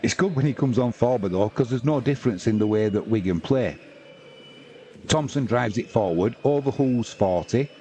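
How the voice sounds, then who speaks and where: A man's voice: medium pitch, expressive, moderate pace, warm tone, a little hiss or hum. A man doing informal, matey live rugby league commentary on a stream, mixing play-by-play with chatty asides to viewers. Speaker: sports livestream commentator and host.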